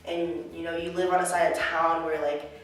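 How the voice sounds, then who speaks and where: A woman's voice: low voice, little pitch movement, moderate pace. A young woman from the United States answering interview questions about her life as a college student, reflective and earnest, with plenty of filler words. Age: young adult. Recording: echoey room, mic at a distance.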